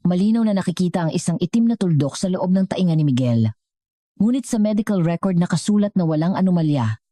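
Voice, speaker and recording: A woman's voice: fairly low pitch, lively intonation, quick pace, dark tone, no echo. A woman with a Filipino accent reading a scripted dramatic story as its narrator.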